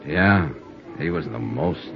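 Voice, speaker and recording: An actor playing a tough, wisecracking American insurance investigator in a 1950s radio detective drama, clipped and wry. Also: male voice, very deep voice, expressive, takes it slowly, gravelly, music in the background, exaggerated voice. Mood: probing, casual. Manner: clipped, wisecracking, hard-boiled. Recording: muffled old radio recording.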